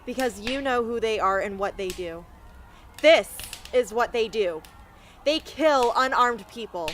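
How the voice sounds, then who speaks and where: A woman's voice: on the high side, lively intonation, measured pace, a bit bright, a little hiss or hum. A woman acting a defiant, earnest young rebel heroine in an amateur radio drama. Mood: defiant, impassioned.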